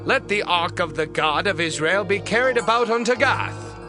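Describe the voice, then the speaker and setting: A man's voice: high-pitched, normal speed, music in the background, lightly acted. A man playing an alarmed ancient townsman, urgent and declamatory, in a dramatized scripture reading. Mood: decisive.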